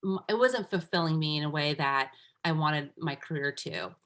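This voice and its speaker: A woman's voice: low voice, normal speed, a bit bright. Earnest woman telling her career story. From United States, raised by immigrant parents. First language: Armenian. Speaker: guest, coach. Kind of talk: casual interview talk.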